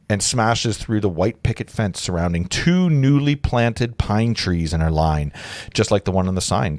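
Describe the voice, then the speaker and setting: A man with a fairly low voice reads stage directions aloud at a casual table read. He trips over words and corrects himself, and the sound is dry and close. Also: no echo. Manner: stumbling, self-correcting, amused asides. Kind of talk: informal live reading of screenplay directions.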